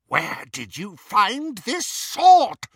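A man acts out an imperious storybook witch, half mad and frightening. The pitch is pushed high and swoops wildly, with a sharp, commanding edge. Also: wildly swooping pitch, extremely slow, dry recording, clean studio sound, heavily acted. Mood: imperious scorn. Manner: shrieking, commanding.

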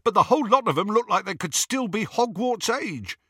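A man acts out a rough, sly storybook henchman. The pitch is pushed high and the tone is bright and forceful, full of jeering mockery. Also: lively intonation, brisk, dry recording, studio quality, exaggerated voice. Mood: mocking. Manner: mocking, taunting.

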